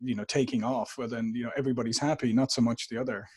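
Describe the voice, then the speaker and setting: A man's voice: mid-range voice, quick pace, husky. A middle-aged Irish man from Dublin, a podcast guest talking business in a conversational interview with lots of restarts and fillers. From Ireland. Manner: animated, many restarts and fillers. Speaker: podcast guest, marketing executive. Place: home office in the US.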